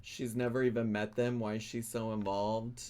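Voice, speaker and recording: A man's voice: on the low side, level tone, moderate pace, warm tone, slight background noise. A man hosting a gossip commentary show, chatty and sassy, laughing between his remarks. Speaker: commentary channel host. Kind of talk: gossip commentary reacting to clips.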